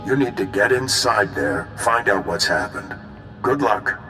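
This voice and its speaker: An actor playing a level-headed, weary intelligence bureau chief in a video game, measured, wry and decisive. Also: male voice, lowish voice, expressive, music in the background. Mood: grave briefing.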